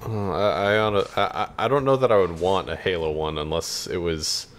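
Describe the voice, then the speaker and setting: A man's voice: deep voice, normal speed, a little hiss or hum. A man co-hosting a podcast in casual chat, speaking in a hesitant, rambling, hedging way. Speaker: podcast co-host.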